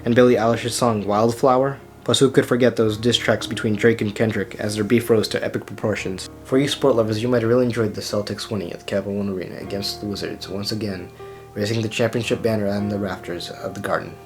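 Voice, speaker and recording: A man's voice: lowish voice, steady tone, fast. A teenage male student reporter reading a scripted recap. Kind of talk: scripted narration. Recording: music behind voice. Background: loud music bed.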